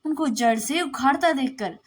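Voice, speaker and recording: A woman reading a literary story aloud as a storyteller, in an expressive, deliberate, dramatic narrating style. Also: sits high.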